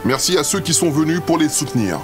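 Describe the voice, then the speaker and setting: A grandiose leader of adventurers voiced by a man in a comedy audio play. He declaims in a lyrical, mock-solemn way with a slightly high voice, faint music underneath. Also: animated, fast talker, lightly acted. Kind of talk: comedy audio drama acting.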